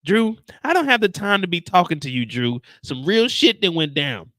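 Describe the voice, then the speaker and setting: A man's voice: very animated, normal speed, a bit bright, no echo. A man doing a fed-up vengeful woman. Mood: defiant. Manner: high, defiant and blunt. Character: angry young woman set on revenge.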